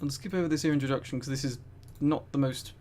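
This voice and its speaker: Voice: medium pitch, fast, a little mellow. A streamer who reads aloud in an amused, emphatic way with casual asides, prone to breaking into giggles. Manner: giggly, often breaking into laughter while reading.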